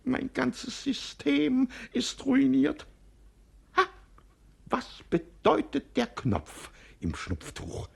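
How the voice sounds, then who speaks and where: An actor playing a pompous, muddled old king in a 1950s radio play, raspy and gravelly, talking in flustered philosophical fuss. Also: extremely high pitch, wildly swooping pitch, very slow, heavily acted.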